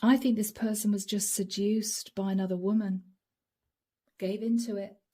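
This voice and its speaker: A middle-aged woman giving a channeled tarot reading. She speaks softly and intimately, often dropping to a whisper, and her voice is a little hoarse from a long session. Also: mid-range voice, steady tone, moderate pace. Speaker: tarot reader.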